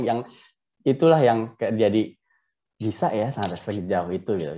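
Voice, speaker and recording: An Indonesian man with a low, very mellow voice speaks as a guest in a casual conversation. He talks about himself in a self-deprecating way and chuckles often. The sound is dull and lo-fi, as on a video call. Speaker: interview guest. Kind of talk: casual personal storytelling.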